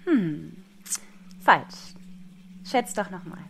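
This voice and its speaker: A woman's voice: on the low side, expressive, drawn-out words, creaky, slight background noise. Teasing woman in her forties. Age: 40s. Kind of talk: staged performance talk.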